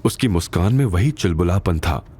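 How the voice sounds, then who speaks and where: A man narrating an audio drama in a scripted, dramatic style with expressive, suspenseful delivery. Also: low voice, moderate pace, husky.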